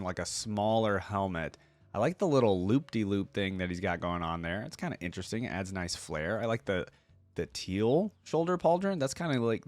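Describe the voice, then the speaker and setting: A man's voice: fairly low pitch, no room sound. A man giving casual, off-the-cuff reaction commentary, informal and opinionated as he thinks aloud. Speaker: YouTube reaction host.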